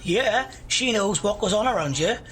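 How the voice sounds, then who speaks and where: A woman's voice: mid-range voice, expressive, normal speed, a bit bright, a little hiss or hum, lightly acted. A woman acting in an audio drama as a bored, opinionated, argumentative young procrastinator who loves gossip. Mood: gossipy insistence. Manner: argumentative, grumbling.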